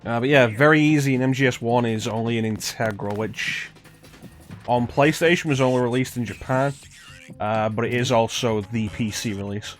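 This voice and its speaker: A man chats his way through live gameplay in a rambling, conversational manner. His voice sits at an average pitch. Faint game music plays behind him. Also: moderate pace. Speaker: streamer and game player. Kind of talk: casual live gameplay commentary.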